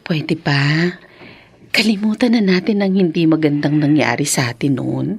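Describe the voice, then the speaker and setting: An actress playing a hurt but forgiving young wife and mother in a Filipino radio drama, with a slow, sighing, emotional delivery and a Filipino accent. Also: sits low, husky, dry recording. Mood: tender, reconciled.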